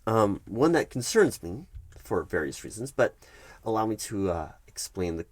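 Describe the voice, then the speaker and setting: Man, lowish pitch, dry recording, ASMR roleplay, bureaucratic HR manager, measured explanatory delivery, halting emphasis. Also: sing-song, no room sound. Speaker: roleplay performer as HR recruiting manager. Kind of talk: one-sided ASMR office roleplay, conversational.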